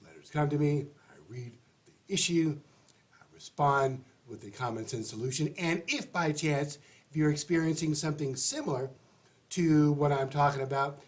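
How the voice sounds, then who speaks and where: A man's voice: a little high, moderate pace. Congested, chatty man hosting. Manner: rambling, chatty, with restarts. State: congested, stuffy nose. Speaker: advice show host. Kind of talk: casual livestream monologue.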